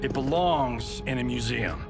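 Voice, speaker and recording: An actor playing a cocky, upbeat action movie star in a video game, with a showy, self-assured, theatrical way of talking. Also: male voice, mid-range voice, fairly even intonation, unhurried, vocal fry, over music. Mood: cocky, heroic. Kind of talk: cinematic video game acting.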